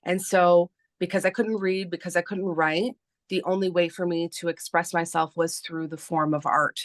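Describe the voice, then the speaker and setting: Woman in her thirties, from Canada, native Spanish speaker; low-ish voice, unhurried, lots of ums, dry close sound. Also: steady tone, no echo. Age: 30s. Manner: slow, hesitant, many fillers. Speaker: guest, artist and publisher. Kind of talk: casual interview talk.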